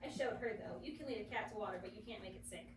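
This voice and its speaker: A woman's voice: mid-range voice, rapid-fire, lightly acted. Teen girl as a sassy old cat, echoey room. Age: teenager. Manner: snarky. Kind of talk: reader's theater script reading. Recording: echoey noisy classroom, mic at a distance.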